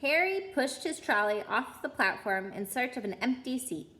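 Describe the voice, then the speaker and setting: Animated, higher-pitched woman reading a storybook. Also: normal speed. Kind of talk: expressive storybook read-aloud.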